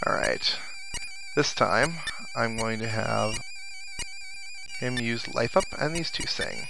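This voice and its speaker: Male let's play host, mid-pitched, off-the-cuff commentary with game music clearly behind. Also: slow pace, poor audio. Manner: thinking aloud to viewers. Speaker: gaming video host. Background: video game music and sound effects.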